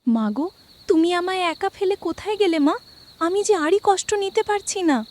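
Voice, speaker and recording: A woman's voice: expressive, fairly quick. A woman voicing a small mistreated girl who sounds frightened and pleading in a cartoon. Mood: grieving. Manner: high, pleading and tearful. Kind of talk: cartoon voice acting.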